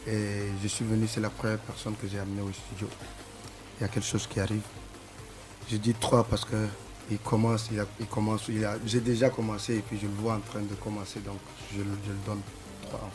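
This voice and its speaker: Low-voiced man, halting speech. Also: completely flat delivery, over music. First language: Sara. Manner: halting, repeats words while searching for them. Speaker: musician guest. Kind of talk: casual interview answers.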